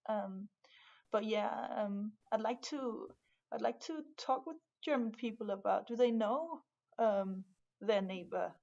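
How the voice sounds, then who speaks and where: A young woman from Denmark with a Danish accent, speaking English haltingly in a casual conversation, full of fillers and restarts. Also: medium pitch, gravelly, mellow, highs cut off. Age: young adult. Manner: hesitant, many fillers and restarts. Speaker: art student, guest.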